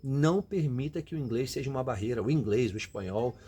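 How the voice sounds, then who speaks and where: A man's voice: mid-range voice, animated, fast talker, mellow, slightly rough. A Brazilian man giving emphatic, motivational advice directly to his viewers in a casual way. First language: Portuguese. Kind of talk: motivational casual talk to camera.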